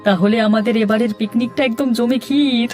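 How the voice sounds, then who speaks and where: A man voices a cartoon village boy, the nervous but keen sidekick to a petty thief. He speaks in curious exclamations, over soft music. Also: extremely high pitch, steady tone, brisk. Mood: eager. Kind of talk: cartoon dialogue acting. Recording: faint music behind.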